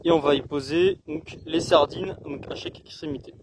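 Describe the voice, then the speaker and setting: A man's voice: fairly high pitch, steady tone, normal speed, background noise. Hesitant man explaining his setup outdoors. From France. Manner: hesitant, many fillers and restarts. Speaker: CB radio hobbyist demonstrating his gear. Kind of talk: casual how-to explanation. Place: outdoors on open ground.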